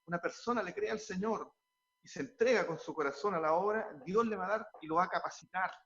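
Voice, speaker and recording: A man's voice: high voice, quick pace, a bit bright, highs cut off. A man, a pastor, answering interview questions earnestly, often repeating words as he restarts, and sliding into a preaching tone.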